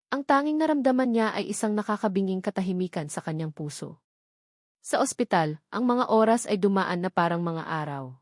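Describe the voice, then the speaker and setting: A woman's voice: mid-range voice, no room sound. Female narrator for dramatic fiction; mid-range voice, dry studio sound. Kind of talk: scripted dramatic story narration.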